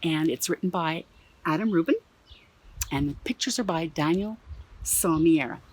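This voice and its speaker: A woman from Canada reading a children's picture book aloud in her backyard for a museum, playful and expressive, with exclaimed asides. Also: sits low, moderate pace, low-quality recording. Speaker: museum storyteller. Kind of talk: children's storybook read-aloud. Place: own backyard.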